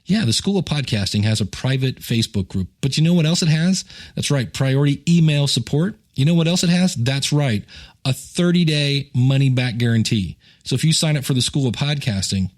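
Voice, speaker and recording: A man with an average-pitched voice hosts a solo podcast. He talks casually, like a chatty teacher making announcements and plugs. He wanders into joking asides and pokes fun at himself. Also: brisk. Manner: chatty, joking, self-deprecating, rambling asides.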